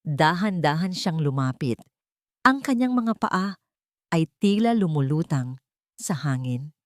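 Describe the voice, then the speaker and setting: A woman narrates a scripted drama in a fairly low voice. Her phrasing is measured and deliberate, with pauses placed for effect. The sound is dry and close, with little room. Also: animated, no echo. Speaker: story narrator.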